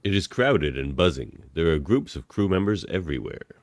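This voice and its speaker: A man's voice: low-pitched, sing-song, moderate pace. A man reading stage directions as an amused narrator of an amateur radio play.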